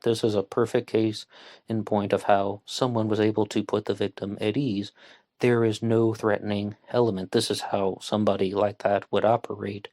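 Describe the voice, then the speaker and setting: A man hosting a true crime podcast, talking through a case in an earnest, measured way with frequent pauses and fillers. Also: lowish voice, flat delivery, a little mellow. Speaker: podcast host.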